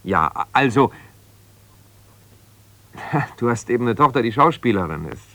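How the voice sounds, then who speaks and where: An actor playing an evasive, ambitious lawyer whose marriage has broken up, talking haltingly and reassuringly yet with exasperation in a naturalistic radio drama. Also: male voice, mid-range voice, expressive, bright, forceful tone, dry recording. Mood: soothing, strained. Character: ambitious lawyer husband, evasive, placating, strained, newly separated. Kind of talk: naturalistic 1970s German radio drama, one-sided phone calls.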